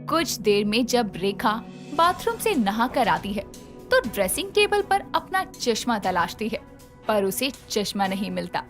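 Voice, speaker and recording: A woman's voice: medium pitch, very animated, measured pace, fairly bright tone, soft background music. A woman narrating a scripted moral story as its storyteller.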